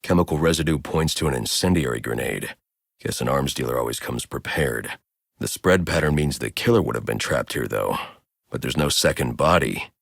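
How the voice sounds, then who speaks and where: A grim masked crimefighter from a video game. His voice sits extremely low, with a heavy gravel to it, recorded close and dry. He speaks in terse, stern phrases, like a driven detective who allows no argument. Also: animated, moderate pace, a bit bright, no echo, exaggerated voice. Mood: grim, analytical. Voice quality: gravelly, very deep. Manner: terse, stern, clipped delivery. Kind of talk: video game voice lines, scripted hero dialogue.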